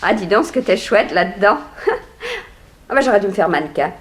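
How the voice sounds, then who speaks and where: An actress playing a chatty, cheeky dresser at a fashion house, with familiar slangy talk, in a 1960s French radio drama. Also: female voice, medium pitch, animated, fairly quick, close to an everyday voice. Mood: cheeky, nosy.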